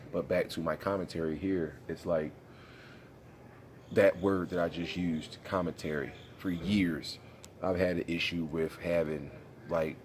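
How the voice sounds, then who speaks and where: A man's voice: very deep voice, slight background noise. A young man talking casually about a video game in a laid-back, rambling, slangy way, congested and sniffling. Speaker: gaming YouTuber. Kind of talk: casual vlog commentary.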